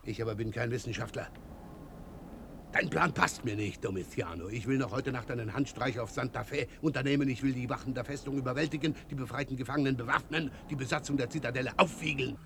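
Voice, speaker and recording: An actor playing a defiant, impulsive Creole landowner leading a rebellion, speaking formally and resolutely in a German radio play. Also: male voice, medium pitch, quick pace, creaky, background noise, natural voice.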